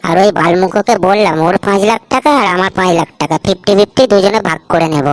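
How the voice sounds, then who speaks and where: A woman's voice: mid-range voice, fast talker, no echo, natural voice. A woman voicing a skeptical, greedy young male friend in a comic cartoon, crude and combative, with a West Bengal accent. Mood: explaining, boastful. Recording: clearly noisy background.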